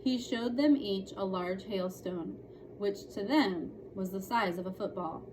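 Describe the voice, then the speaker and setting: A woman's voice: medium pitch, measured pace. A woman narrating a children's novel aloud in a storytelling style. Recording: clearly noisy room recording.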